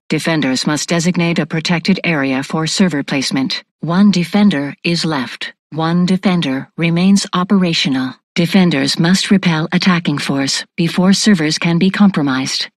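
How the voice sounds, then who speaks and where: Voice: mid-range voice, moderate pace, few pauses, no echo, lightly acted. A performer voicing an impassive facility defence computer in a military video game, with clipped, formal announcements. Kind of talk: scripted game announcer lines.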